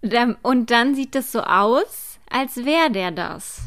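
A woman's voice: a little high, animated, slow pace, a bit bright, dry recording, clean studio sound. A woman co-hosting a casual podcast chat in an informal, teasing way. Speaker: podcast co-host.

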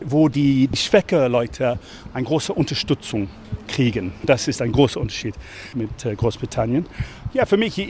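A man's voice: mid-range voice, slight background noise. A man from England with an English accent, chatting hesitantly with many fillers while walking outdoors as a tour guide. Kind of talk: casual chat while walking. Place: outdoors on city streets.

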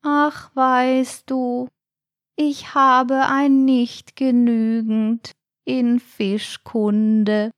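A little sea snail from a children's storybook, thin and high, timid but a touch proud. Every word is stretched out at a crawl, haltingly. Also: female voice, flat delivery, dry recording, studio quality. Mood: sad. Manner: very drawn-out, halting delivery.

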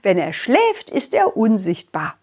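A woman's voice: mid-range voice, huge pitch swings, a little mellow, slightly rough, heavily acted. A woman voicing a cheerful, hospitable king in a children's story, full of exclamations and stretched words. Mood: amused, explaining. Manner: exclamatory, leisurely, drawn-out words. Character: cheerful, hospitable king, fond host of a ghost.

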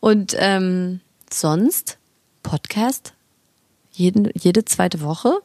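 A woman's voice: dry recording, studio quality. A woman hosting a podcast, chatting casually and laughing easily. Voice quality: gravelly low voice. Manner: warm, chatty, quick to laugh. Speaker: podcast host. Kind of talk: casual chat.

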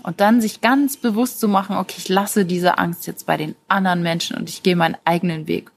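A woman's voice: lowish voice, steady tone, brisk, creaky. Encouraging woman in an informal motivational monologue. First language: German. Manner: encouraging, addresses the listener directly.

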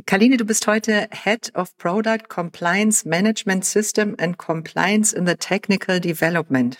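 A woman's voice: medium pitch, normal speed. A woman hosting a corporate podcast and interviewing a guest. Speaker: podcast host.

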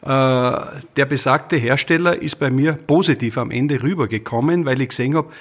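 A man hosting a conversational interview, talking in a wordy, halting way as he rephrases his thoughts. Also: mid-range voice. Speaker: interview host.

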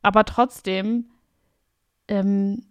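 A woman in her late twenties hosting a podcast, thinking out loud in casual chat with a reflective, self-mocking manner. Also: medium pitch, steady tone, extremely slow, clean tone, no room sound. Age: late 20s. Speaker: podcast host.

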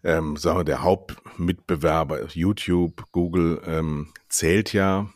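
Man, host, low pitch, dry close sound, emphatic questions. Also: steady tone, takes it slowly, darkish tone, no room sound. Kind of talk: casual interview conversation.

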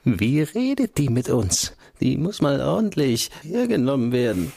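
An actor playing a middle-aged intelligence agency chief in a German political radio drama, guarded, insinuating and conspiratorial. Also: male voice, mid-range voice, big pitch swings, measured pace, creaky, dark tone, breathy edge, heavily acted. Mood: scornful, scheming.

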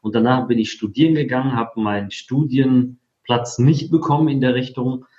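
A man's voice: mid-range voice, normal speed, darkish tone. A forty-year-old man from Poland who grew up in Germany, talking casually about himself as an interview guest. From born in Poland, grew up in Germany. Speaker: interview guest, affiliate marketer. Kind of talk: casual autobiographical telling. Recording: roomy, slightly dull sound.

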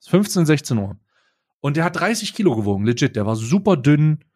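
A man's voice: on the high side, fairly quick. A young man co-hosting a podcast, telling a story in casual slang with lots of restarts. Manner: animated, slangy, frequent restarts. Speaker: podcast co-host.

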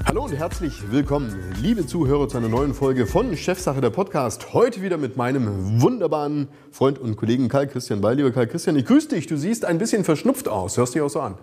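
Mellow-voiced male podcast host. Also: mid-range voice, very animated, brisk, hardly any pauses, soft background music. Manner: friendly, teasing, leads with questions. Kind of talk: business podcast conversation.

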